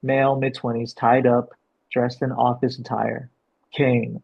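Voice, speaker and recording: A man working as a director, explaining and reading out a script, with a gravelly, husky voice. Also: medium pitch, flat delivery, unhurried. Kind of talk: explanatory coaching and script narration. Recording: dull, lo-fi sound.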